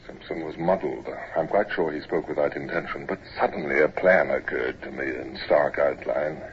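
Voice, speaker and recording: Older naval captain, very low voice, reflective dramatic narration, old radio drama, dull sound. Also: male voice, fairly even intonation. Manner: measured, dramatic. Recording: muffled, noisy old radio recording.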